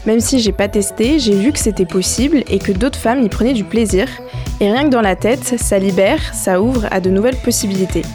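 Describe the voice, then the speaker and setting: A woman hosting a community radio show, first reflecting in a calm, measured voice on her own experience, then interviewing a guest in the studio. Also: medium pitch, over music.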